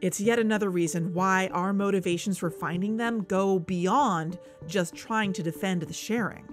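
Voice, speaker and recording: A woman's voice: medium pitch, normal speed, natural voice. A woman playing an investigative podcast host, narrating in a serious, conversational, scripted style. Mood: earnest, urgent. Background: background music.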